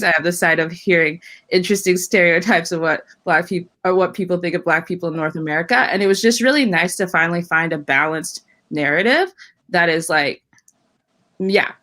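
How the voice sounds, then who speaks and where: A woman's voice: lowish voice, fairly bright tone. A woman, a panel guest speaking reflectively and searching for words, with frequent fillers and restarts. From Saskatchewan, Canada.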